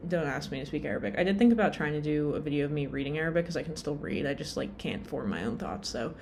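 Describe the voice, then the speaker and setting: Low-voiced woman rambling casually. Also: expressive, quick pace, darkish tone, slight background noise. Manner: rambling, lots of "like" and "um", self-deprecating. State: tired. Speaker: vlogger.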